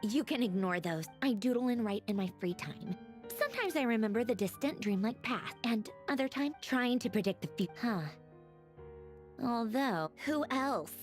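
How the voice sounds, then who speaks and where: A woman's voice: on the high side, expressive, normal speed, faint music behind, natural voice. An actress playing a haughty, witty woman inventor in a video game, guarded yet wistful, speaking in a wry, articulate way. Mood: guarded, flustered. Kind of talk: scripted video game story dialogue.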